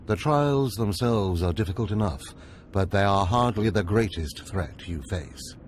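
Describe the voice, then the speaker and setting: An actor playing a stern, scheming older Sith overseer in a video game, speaking in a commanding, measured, formal way. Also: male voice, fairly low pitch, animated, a little hiss or hum. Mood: stern, instructing.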